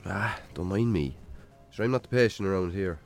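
An actor playing a weary, put-upon Irish bachelor farmer in a naturalistic radio drama, with a dry, sardonic manner. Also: male voice, sits low, lively intonation, moderate pace, soft background music. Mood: wry, friendly. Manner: wry, sardonic, grudging.

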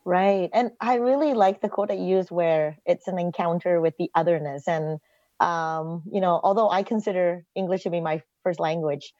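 A woman's voice: lowish voice, fairly even intonation, normal speed, dark tone, no room sound. A woman from Malaysia hosting a podcast, asking her guests friendly, guided questions. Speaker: podcast host. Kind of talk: podcast interviewing. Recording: dull lo-fi sound.

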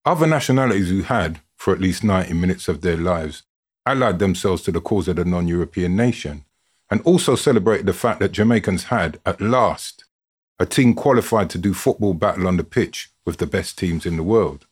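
Male narrator, low and husky, expressive storyteller reading from a script with drama. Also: brisk. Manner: expressive, dramatic delivery with built-up lists. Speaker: reader, narrator of a written football story.

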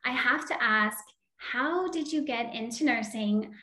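A woman's voice: a little high, a little slow, bright, forceful tone, echoey room. A woman hosting a podcast interview, upbeat and welcoming as she introduces and questions her guest. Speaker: podcast host.

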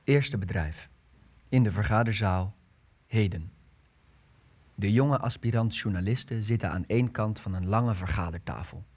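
A young man narrating a radio play, reading out the cast and stage directions in a measured, deliberate way. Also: lowish voice, darkish tone.

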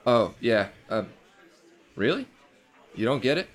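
A man's voice: medium pitch, very animated, a little slow. A man acting a frazzled, defensive young restaurant worker in a scripted read, rambling and stammering with sarcasm. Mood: awkward, deflated. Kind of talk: acted script table read.

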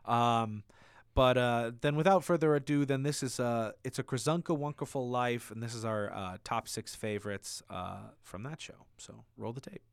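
A man hosting a podcast, chatting casually in a halting, hesitant way. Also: medium pitch, creaky. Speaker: podcast host. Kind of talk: casual chat, reminiscing.

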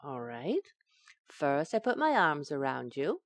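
Animated 1940s sitcom housewife, acted by a woman. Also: low-pitched, a little slow, no echo, full character voice. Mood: soft, coaxing. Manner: wry, teasing comic delivery. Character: feisty, playful 1940s sitcom housewife, sarcastic and affectionate. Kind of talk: 1940s radio sitcom acting.

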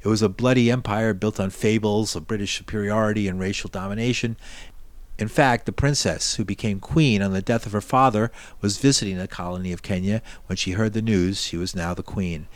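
A male news host reads his scripted introduction in a steady, formal manner. His voice sits fairly low. The recording is dry and close. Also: fairly quick, dry recording. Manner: steady, formal read delivery.

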